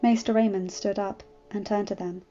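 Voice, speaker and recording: A woman's voice: mid-range voice, steady tone, normal speed, mellow. A woman reading fan fiction aloud as scripted story narration. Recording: muffled audio with music. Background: music.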